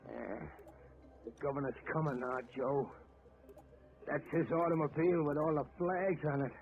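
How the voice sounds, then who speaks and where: An actor playing an unhinged, vengeful sniper who mutters and panics, in a 1940s radio drama. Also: male voice, on the high side, fairly even intonation, moderate pace, close to an everyday voice. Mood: muttering. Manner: muttering, then panicky and pleading. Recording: tinny old radio broadcast, hiss.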